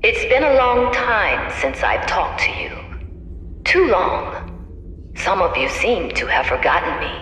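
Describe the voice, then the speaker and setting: An actress playing a cold, menacing female tyrant scientist giving a deliberate, oratorical speech in a video game over a public address. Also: lowish voice, very animated, fairly bright tone, slight background noise, full character voice. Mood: coldly grandiose. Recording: echoey hall public address, faint music.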